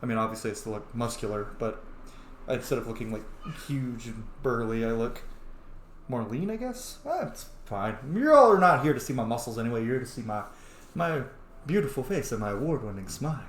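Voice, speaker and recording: A man's voice: mid-range voice, animated, brisk. Guy rambling thru a game, jokey asides, roomy echo, bit of noise behind. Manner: chatty, rambling, joking asides. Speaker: streamer playing a video game. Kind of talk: casual playthrough commentary.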